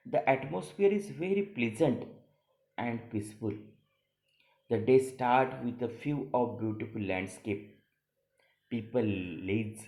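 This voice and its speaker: A man teaching a lesson, reading practice paragraphs aloud in a deliberate, stumbling way and repeating phrases. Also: mid-range voice, warm tone. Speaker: college teacher. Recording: echoey room sound.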